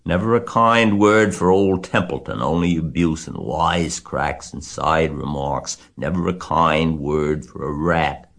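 A sour old storybook rat, played by a male reader, low-pitched and growling. He grumbles in a mocking, disgusted drawl, lazy and sorry for himself. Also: fairly even intonation, a little slow, few pauses, fairly bright tone, full character voice. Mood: self-pitying.

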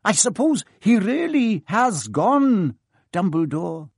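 A man's voice: sits high, very animated, slow, husky, no room sound, studio quality, heavily acted. A man voicing a stern, prim older woman professor of witchcraft who talks in a clipped, impatient way. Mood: impatient scolding. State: trembling with shock at the end. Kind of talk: audiobook character voice.

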